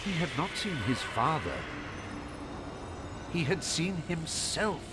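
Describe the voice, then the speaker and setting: A man's voice: a little high, very animated, slow, very noisy. A man narrating a scripted fantasy story dramatically for a video game. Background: music.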